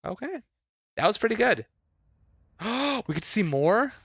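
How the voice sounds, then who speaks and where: A man's voice: high-pitched, big pitch swings, measured pace, creaky, fairly bright tone, breathy edge, dry recording, dull audio. A man in casual live stream chat, thinking aloud and talking with his viewers as he reacts.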